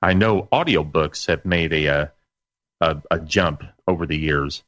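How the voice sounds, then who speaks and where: A middle-aged man chatting as an interview guest in a halting, conversational way, on a slightly muffled recording. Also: a bass voice, fairly bright tone, no room sound. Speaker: interview guest, internet radio station operator. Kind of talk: casual interview chat.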